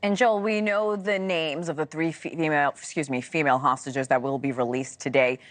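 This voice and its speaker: A woman with a distinctly low voice works as a news anchor, interviewing in a live broadcast style. The sound is dry and free of room echo. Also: steady tone, a little fast.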